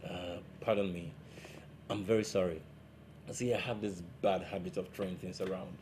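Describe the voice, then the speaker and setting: A man's voice: low voice, fairly even intonation, moderate pace, a little mellow, slight background noise, lightly acted. An actor playing an easygoing, self-assured married IT man chatting with a colleague in a stage play. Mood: apologetic, chatty.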